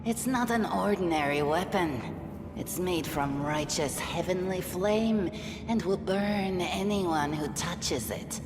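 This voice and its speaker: An actress playing a stern, proud and fatalistic tribeswoman in a video game, solemn and measured. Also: lowish voice. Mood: scornful, stern. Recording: echoey hall reverb. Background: background music.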